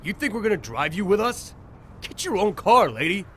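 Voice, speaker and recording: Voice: mid-range voice, very animated, normal speed, a bit bright, slight background noise. An actor playing a brash, defensive, short-tempered young man in an audio drama, snappy and sarcastic. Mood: irritated.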